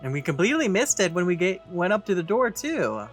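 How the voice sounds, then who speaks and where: Casual mid-pitched let's play commentator. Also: sing-song, fairly quick. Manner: muttering reactions to the game aloud. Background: game music.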